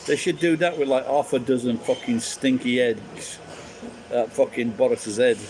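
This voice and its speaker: A man's voice: medium pitch, fairly even intonation, normal speed, crowd noise, rough recording. Sweary ranting Sheffield man. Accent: Sheffield English. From England. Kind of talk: casual street chat.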